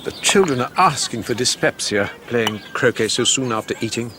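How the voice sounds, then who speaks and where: A man's voice: medium pitch, animated, noisy background, natural voice. An actor playing a stern, pompous middle-aged Victorian father with an English accent and formal manner in a radio drama. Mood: fretful, disapproving. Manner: formal, pompous, measured authority. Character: stern, stuffy Victorian father, fretful head of household. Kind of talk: 1990s British radio drama acting.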